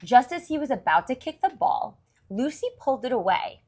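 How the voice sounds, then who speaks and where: A woman's voice: high voice, big pitch swings, normal speed, darkish tone. A woman reading a story aloud to young children, expressively, with simple explanations along the way. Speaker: children's story reader and host.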